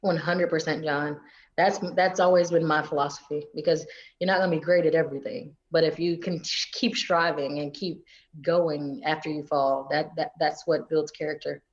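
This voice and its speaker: A woman's voice: low-pitched, fairly even intonation, normal speed, highs cut off. A woman with a North Carolina accent, a guest chatting casually in an interview, filling her talk with "you know" and "like" and laughing often. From coastal North Carolina. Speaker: guest, space agency public affairs officer.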